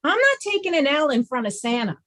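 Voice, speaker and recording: A woman plays a middle-aged mom in a comedy script reading. Her voice is high and very animated, polite and a touch defensive. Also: a little fast. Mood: hesitant, defensive. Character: middle-aged suburban mom, polite but quick to deflect blame. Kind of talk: comedy script table read.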